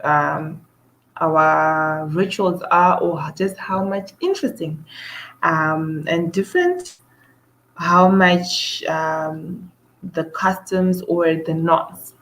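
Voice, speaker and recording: A woman from Zimbabwe hosts her own show in a casual monologue. Her voice sits on the low side and she talks slowly. She pauses on "um" and restarts her sentences again and again. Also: fairly bright tone, poor audio. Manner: hesitant, many "um" fillers and restarts. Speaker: show host.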